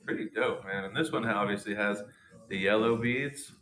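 A man in his thirties hosts a casual product showcase, full of excited, slangy enthusiasm. His voice sits fairly low. The sound of the small indoor room echoes around him. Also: moderate pace, a little hiss or hum.

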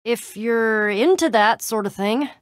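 A woman's voice: on the high side, measured pace, dry recording, clean studio sound, lightly acted. An actress playing a tough, guarded young woman adventurer in a video game, speaking casually with wry exasperation and hesitant trailing-off. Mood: earnest, explaining.

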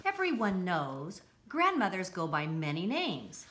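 A middle-aged woman reading a children's picture book aloud, deliberately and with expressive emphasis, recorded indoors a short distance from the camera. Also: lowish voice, slight background noise. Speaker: school read-aloud presenter. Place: indoors, home-like room with armchairs.

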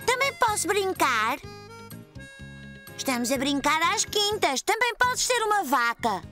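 A woman voicing a friendly little preschool boy in a dubbed cartoon, playful and quick with animal noises. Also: very high voice, sing-song, sharp tone, faint music behind, exaggerated voice.

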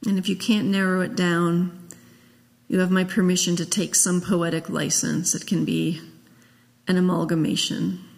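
A woman minister leading a guided meditation, speaking gently and in a measured way with long pauses. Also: fairly low pitch, little pitch movement, gravelly. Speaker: minister leading a memorial service. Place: large church sanctuary, at a pulpit. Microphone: gooseneck pulpit microphone. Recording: long echo of a church hall.

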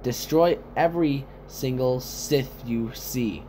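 A man voicing an excitable soldier commander in amateur sci-fi video-game voice lines, giving urgent, halting battle callouts. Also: medium pitch, background noise, close to an everyday voice. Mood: urgent, commanding.

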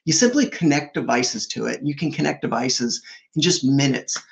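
A man's voice: medium pitch, normal speed, creaky. A man in his forties on a video call from a home office, explaining products in a fluent, upbeat conversational way with frequent fillers. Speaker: product executive guest. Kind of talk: conversational tech keynote. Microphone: video call webcam.

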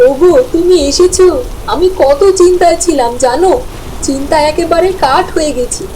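A woman's voice: extremely high pitch, moderate pace, dark tone, a little hiss or hum, low-quality recording. A woman playing a caring, anxious village wife and mother in a cartoon, tender and fretful. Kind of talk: cartoon voice acting.

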